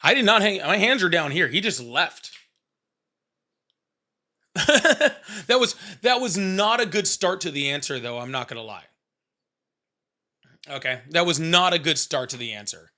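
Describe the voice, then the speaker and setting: A man's voice: a little high, very animated, a little slow, long pauses, bright, forceful tone. A man in his thirties hosting a live call-in stream, blunt and joking as he challenges callers. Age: 30s. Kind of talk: casual live call-in chat.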